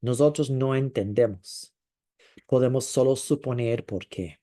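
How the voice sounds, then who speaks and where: A man preaching a sermon in a thoughtful way, pausing often and stretching out words he wants to stress. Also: medium pitch, mellow. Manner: thoughtful, with frequent hesitations and drawn-out stresses.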